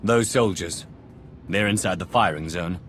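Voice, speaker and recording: An actor playing a resolute dark lord in a video game, speaking formally and deliberately, with authority. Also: male voice, low-pitched, very noisy. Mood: stern. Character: resolute, commanding male dark-side sorcerer lord. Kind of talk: cinematic video game acting.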